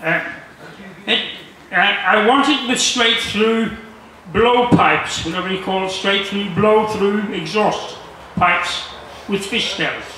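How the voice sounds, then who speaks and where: A jovial man in his sixties reading aloud haltingly to an audience, with humorous asides. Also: high voice, pushed and bright. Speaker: guest reader. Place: large marquee tent with seated audience. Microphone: handheld mic through speakers, filmed from audience. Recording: very echoey PA in tent.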